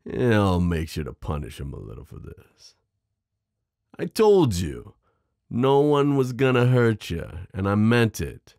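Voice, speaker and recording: A man voicing a smooth, possessive mafia boss in an ASMR roleplay, menacing yet protective, teasing the listener with pet names. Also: lowish voice, sing-song, measured pace, darkish tone, no echo, studio quality. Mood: protective, stern. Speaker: audio roleplay performer. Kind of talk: ASMR roleplay drama acting.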